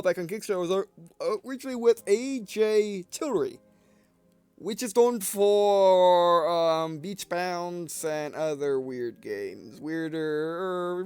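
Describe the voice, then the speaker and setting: A man's voice: high voice, a little mellow. A man hosting a gameplay video with casual, rambling, digressive commentary, often trailing off mid-sentence.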